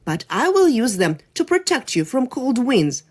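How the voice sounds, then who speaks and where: A woman voicing a gentle, protective old pine tree in a storybook tale. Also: on the high side, big pitch swings, normal speed, voice pushed up.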